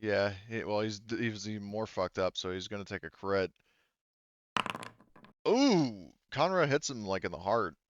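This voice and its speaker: A man's voice: lowish voice, expressive, moderate pace, highs cut off. A man acting as game master, casually explaining rules and narrating a tabletop game step by step.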